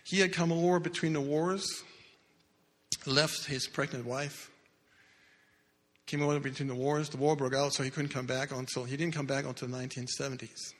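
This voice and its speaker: A man in his sixties, not a native English speaker, telling his life story earnestly as a testimony in church, with halting restarts. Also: medium pitch, steady tone. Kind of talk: personal testimony talk. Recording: echoey hall with long reverb.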